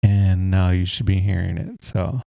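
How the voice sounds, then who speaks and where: A man with a deep voice giving a relaxed, rambling tutorial from home, talking into a desk mic without a pop filter. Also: measured pace, creaky, no room sound. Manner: relaxed, rambling explanations with fillers. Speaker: tech tutorial host. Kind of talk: casual screen-recorded tutorial. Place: home room with a heater running. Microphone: USB desk mic without a pop filter.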